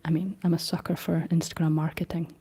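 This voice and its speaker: A young woman with a Scottish accent hosting a whispered ASMR livestream, chatting gently through viewer comments in a soft, unhurried way; her voice is deep for a woman. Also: flat delivery, husky. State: slight frog in throat.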